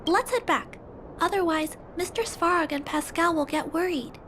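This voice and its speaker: A voice actress playing an earnest young girl who speaks gently but with resolve. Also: very high-pitched, normal speed, slight background noise. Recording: music behind.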